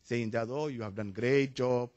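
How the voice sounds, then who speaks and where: A man from Somalia making a spontaneous comment from the floor of a panel, halting and restarting, his emphasis rising as he goes, in a conference hall. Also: mid-range voice, steady tone, darkish tone, muffled recording. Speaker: audience member asking a question.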